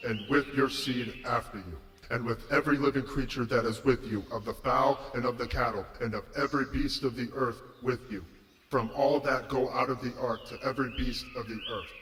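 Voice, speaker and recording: A man's voice: mid-range voice, fairly even intonation, a little fast, a bit bright, pitched above the performer's own voice, lightly acted. A man voicing God as a solemn, almighty figure, declaiming in a dramatized scripture reading. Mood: solemn, majestic. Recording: echoing voice with long hall reverb.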